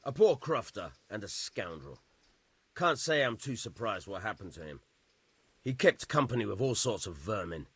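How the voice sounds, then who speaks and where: An actor playing a gruff, weary village bailiff in a video game, blunt and grudging in how he talks. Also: on the low side, big pitch swings, normal speed, a bit bright, no room sound, full character voice. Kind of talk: video game cutscene acting.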